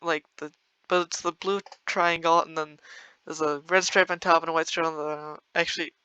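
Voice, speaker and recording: A man's voice: on the high side, little pitch movement, moderate pace, pushed and bright, smooth, dry recording, lo-fi sound. A young man giving rambling, casual commentary over a strategy game, full of fillers and small laughs. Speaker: gameplay video creator.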